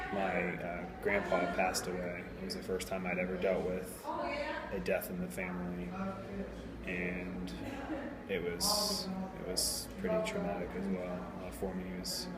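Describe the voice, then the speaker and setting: A man in his thirties from Tennessee, answering interview questions casually with many fillers and restarts. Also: deep voice. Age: 30s. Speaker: interviewee, restaurant general manager. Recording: echoey room with loud crowd noise. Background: crowd chatter.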